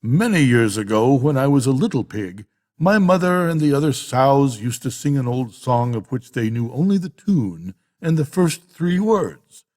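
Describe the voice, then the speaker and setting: An older man voicing an old boar, a solemn, rousing elder of farm animals, giving a measured, emphatic storybook speech. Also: medium pitch, very animated, darkish tone, close to an everyday voice. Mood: solemn, reminiscing. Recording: long hall-like reverb.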